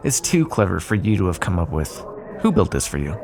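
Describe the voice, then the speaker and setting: Man acting a sly, gravelly male vampire. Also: deep voice, big pitch swings, brisk, background noise. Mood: smug, probing. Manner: sarcastic, teasing, drawling asides. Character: sly teasing male vampire bargaining with his hunter rival. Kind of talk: ASMR roleplay, intimate scripted monologue.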